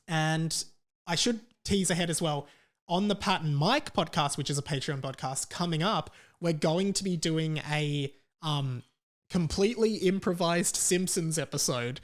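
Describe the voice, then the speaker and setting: An Australian man hosting a podcast, talking casually on his own in a rambling, opinionated, sweary way. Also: on the high side. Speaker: podcast host. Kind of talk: casual solo podcast chat.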